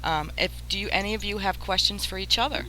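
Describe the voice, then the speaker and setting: A woman's voice: medium pitch, quick pace, sharp tone, slight background noise, poor audio. A woman hosting a casual panel chat, passing questions around the group. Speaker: host.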